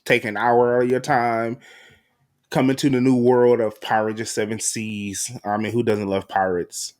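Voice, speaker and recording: A man's voice: mid-range voice, flat delivery, moderate pace, darkish tone. A man hosting a podcast in casual, slangy, promotional chat. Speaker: podcast host and voice actor.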